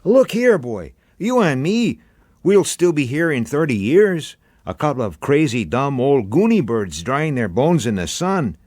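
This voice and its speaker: Story character, cynical bullying beachcomber, done by a male reader: loud, raised pitch, brash and scornful. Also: very animated, unhurried, dry recording, clean studio sound. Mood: angry, domineering. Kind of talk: dramatic audiobook character voice.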